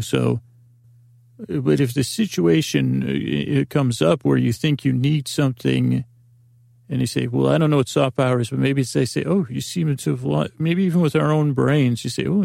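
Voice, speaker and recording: A man hosting a podcast, meandering through a soft, self-deprecating bedtime monologue full of fillers. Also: medium pitch, gravelly, dry recording, clean studio sound. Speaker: podcast host.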